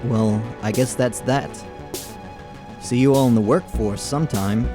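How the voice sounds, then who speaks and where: A man's voice: mid-range voice, a little slow. A man reading scripted narration and character dialogue aloud, as in a game readalong. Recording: music behind the voice. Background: music.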